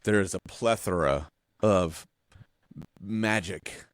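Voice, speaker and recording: A man co-hosting a podcast, chatting in an informal, animated way with some mild swearing. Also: deep voice, slow, dry recording, clean studio sound. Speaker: podcast co-host. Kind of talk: casual chat.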